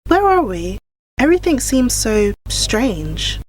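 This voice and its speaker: A woman's voice: a little high, sing-song, takes it slowly, a little hiss or hum, rough recording. A woman playing a bewildered, inquisitive time-travel companion in an audio drama parody, speaking plainly.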